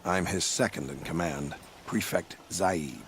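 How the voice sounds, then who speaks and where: Low-voiced, smooth military officer. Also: male voice, slight background noise. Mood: smooth, evasive. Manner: polished, formal, measured politeness. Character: smooth, self-assured male military prefect feigning innocence. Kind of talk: video game dialogue acting.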